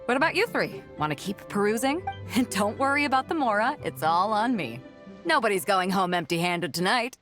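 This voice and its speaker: A woman's voice: mid-range voice, lively intonation, brisk, music in the background, natural voice. A woman playing a breezy, confident, big-hearted ship captain in a video game, casual and outgoing. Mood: breezy, generous. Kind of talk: scripted video game dialogue acting.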